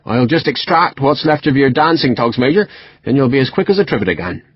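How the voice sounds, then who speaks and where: A man's voice: mid-range voice, expressive, a little fast, slightly rough, dry recording, highs cut off, voice pushed up. A man doing a hearty West Country army surgeon. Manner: hearty, brusque. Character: cheerful, brusque male army surgeon chewing a cigar.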